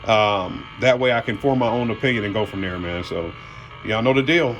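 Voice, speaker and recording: A man's voice: on the low side, moderate pace, fairly bright tone, a little hiss or hum, low-quality recording. A man hosting a car audio vlog, talking casually and colloquially, addressing viewers as y'all and man. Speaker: car audio channel host. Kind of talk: casual product unboxing talk.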